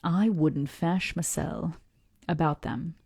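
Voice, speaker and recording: Woman doing a brusque ancient sailor, creaky Southern drawl. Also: low-pitched, takes it slowly, a little mellow, no echo, studio quality, exaggerated voice. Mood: brusque, dismissive. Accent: American Southern. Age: nearly 100. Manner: folksy old-fashioned dialect. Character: brusque, skeptical, nearly hundred-year-old male retired sailor. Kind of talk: acted storybook dialogue.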